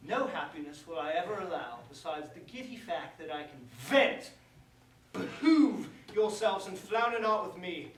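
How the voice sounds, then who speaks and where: An actor playing a pompous, bitter young prince in a staged reading of a verse comedy, declaiming formally. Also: male voice, high-pitched, expressive, normal speed. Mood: livid, scornful. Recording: distant mic, echoey room, faint noise.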